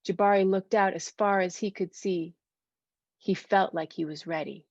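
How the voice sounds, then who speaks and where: Female children's story reader: expressive, child-directed, medium pitch. Dry, slightly muffled recording. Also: normal speed, no echo. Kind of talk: read-aloud storytelling with questions to children. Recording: dull, lo-fi sound.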